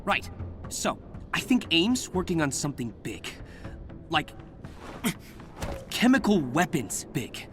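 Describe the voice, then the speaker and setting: A man's voice: fairly high pitch, sing-song, slow pace, long pauses. An American man playing an upbeat, wisecracking, nervy young superhero in a video game, chatty and rambling. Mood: earnest. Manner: chatty, rambling, self-correcting, jokey. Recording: game music behind the voice.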